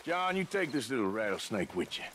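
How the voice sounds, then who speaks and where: An actor playing a gruff, wry American outlaw gang enforcer in a video game, drawling dry threats. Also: male voice, mid-range voice, big pitch swings, brisk, fairly bright tone, slight background noise. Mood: dry threatening. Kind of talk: cinematic video game acting.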